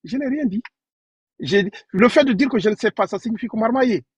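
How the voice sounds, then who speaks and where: A man in a live debate, speaking in a measured, repetitive and defensive way. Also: high-pitched, animated, breathy edge, no room sound, dull audio. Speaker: debate participant.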